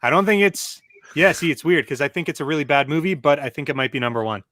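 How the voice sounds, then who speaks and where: A man's voice: on the high side, fast, crowd noise. A man on a live-stream panel, bantering playfully and laughing often. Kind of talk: casual live-stream chat.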